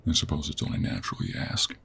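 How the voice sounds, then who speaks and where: A man playing a gruff, teasing, weary 49-year-old cowboy monster hunter in an intimate audio roleplay, with a husky Western American drawl. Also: sharp tone, a little hiss or hum, lightly acted. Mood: apologetic, soft. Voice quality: gravelly, husky. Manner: slow, drawling, with heavy sighs and pauses. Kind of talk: intimate audio roleplay acting, addressed to the listener.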